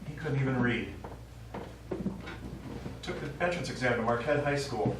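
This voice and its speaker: A man's voice: mid-range voice, normal speed, close to an everyday voice. Man playing an old widower father, echoey stage. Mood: wry reminiscing. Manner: wry, rambling, reminiscing. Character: elderly Italian-American widower father, war veteran, stubborn reminiscing storyteller. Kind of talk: stage play acting. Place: small theatre stage, recorded from the audience. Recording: echoey small theatre, distant mic, faint noise.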